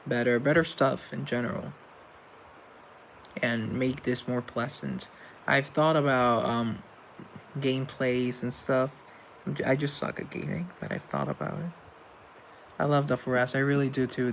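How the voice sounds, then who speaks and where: Young man, gravelly, rambling, earnest, casual live-stream chat, faint hiss. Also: mid-range voice, rough recording. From Colombia. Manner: rambling, earnest, with self-deprecating asides. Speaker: live show host answering chat.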